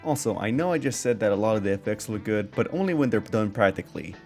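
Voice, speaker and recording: A man's voice: on the low side, lively intonation, fast talker. A man reviewing a film in casual, scripted video-essay narration with an informal manner. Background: background music under voice-over.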